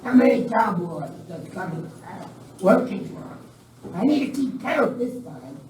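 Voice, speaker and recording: A man's voice: high voice, big pitch swings, measured pace, a little mellow. An amateur actor playing a ruthless, bumbling outlaw henchman in a live radio western. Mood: menacing, conspiring. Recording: echoey room, distant, noisy.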